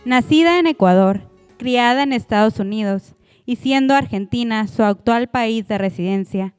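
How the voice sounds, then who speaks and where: A woman announcer reading a formal, scripted introduction of a guest speaker in a measured manner. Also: on the high side, darkish tone, smooth, no echo, soft background music.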